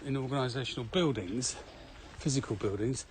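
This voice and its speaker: A man's voice: medium pitch, a little fast. A man talking casually as co-host of a podcast. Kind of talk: casual conversational podcast chat. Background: steady ambient noise.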